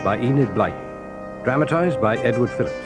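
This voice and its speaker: Man narrating a story, lively delivery. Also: medium pitch, over music. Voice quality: measured, expressive storytelling.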